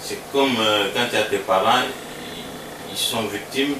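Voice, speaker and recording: A man from Congo, a musician, answering interview questions in a relaxed, halting way that repeats words. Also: on the low side. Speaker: musician guest. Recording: roomy sound from a distance with background noise.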